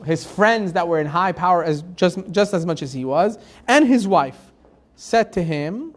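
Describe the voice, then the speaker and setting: A rabbi teaching a live Torah class. He reads Hebrew verses, translates them phrase by phrase, and asks the class rhetorical questions in a loose, conversational way. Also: high voice. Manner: conversational, with restarts and fillers.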